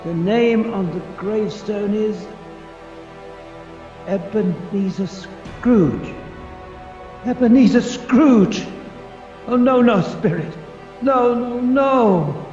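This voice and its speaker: A man's voice: sits high, expressive, slow, pauses often, darkish tone, faint music behind. A man playing an elderly miser in a radio play, theatrical and stammering. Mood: desperate, begging. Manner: theatrical, stammering, repeating himself in pleas. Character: elderly miser, terrified and pleading, desperate to repent. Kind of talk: 1930s-style radio drama acting. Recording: muffled recording with long echoing reverb.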